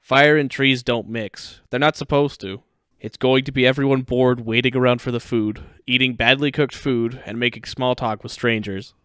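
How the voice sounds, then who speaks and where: A man plays a weary, grumpy cartoon dad. He grumbles in a deadpan way, with a clean, smooth, mid-pitched voice and a dry, close sound. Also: normal speed, dry recording, lightly acted. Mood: grumbling. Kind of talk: fan fiction dramatic reading.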